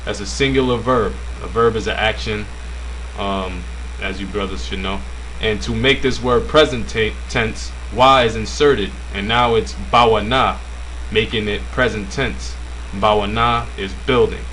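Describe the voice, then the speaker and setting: Casual low-voiced man teaching. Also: steady tone, fairly bright tone, slight background noise, low-quality recording. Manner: casual, halting, many fillers. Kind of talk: informal religious language lesson.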